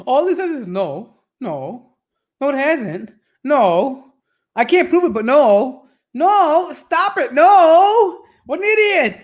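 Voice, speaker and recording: A man's voice: extremely high pitch, big pitch swings, slow pace. A man hosting a reaction video, commenting on a debate in a mocking, exasperated way.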